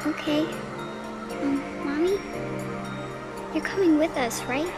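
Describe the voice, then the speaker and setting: Very high, pleading little girl. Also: over loud music. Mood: hopeful pleading. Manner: childlike, halting. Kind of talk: video game audio drama acting.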